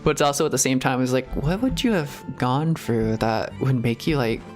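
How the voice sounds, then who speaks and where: A man's voice: mid-range voice, animated, normal speed, a little mellow, soft background music. A man streaming a game, chatting in a casual, confessional way, hesitant and rambling, often chuckling at himself. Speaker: streamer reacting to a video game. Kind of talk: casual, confessional chat while playing.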